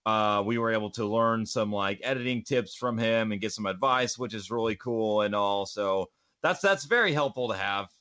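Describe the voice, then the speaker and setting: Man hosting, bright but muffled call. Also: moderate pace, no echo. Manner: rambling, repeats phrases. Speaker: podcast host. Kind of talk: casual chat. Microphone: voice call mic, close. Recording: muffled low-fidelity voice chat call.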